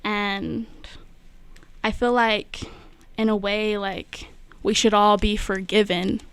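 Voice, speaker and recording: A young woman, a poet and radio guest, talking slowly and reflectively in a studio with many pauses and fillers. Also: medium pitch, level tone, clear, resonant tone, no room sound. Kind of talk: spoken word poetry and casual radio talk.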